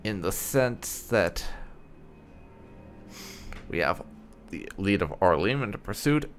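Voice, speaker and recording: A man's voice: lowish voice, lively intonation, slow pace, soft background music. A man hosting a roleplay game playthrough, commenting and voicing his choices in a casual way. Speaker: gaming host. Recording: game ambience faintly behind voice.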